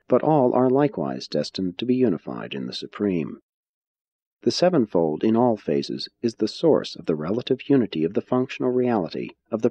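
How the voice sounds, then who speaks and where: A man narrating a religious audiobook in a measured, solemn way with deliberate phrasing. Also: low-pitched, warm tone, slightly rough. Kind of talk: scripted narration, religious text reading. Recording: dull, muffled audio.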